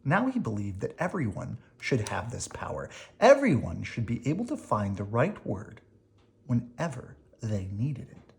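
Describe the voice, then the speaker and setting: Storybook reader, slow careful pace, very animated intonation, expressive emphasis, explaining for children. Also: mid-range voice, gravelly. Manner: expressive, deliberate reading with dramatic emphasis. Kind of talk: reading a children's picture book aloud.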